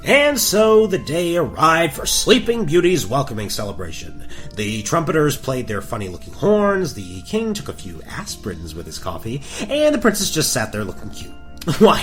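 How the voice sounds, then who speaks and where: Comic storybook narrator played by a man, wry and exaggerated, bright tone, very animated reading. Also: a little high, moderate pace, soft background music. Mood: wry, playful.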